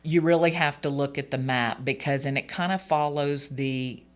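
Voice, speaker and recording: A speaker in their forties, a hoarse and slightly gravelly voice, talking as a candidate on a radio interview, rambling through fillers and restarts in an earnest way. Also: mid-range voice, moderate pace. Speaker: political candidate.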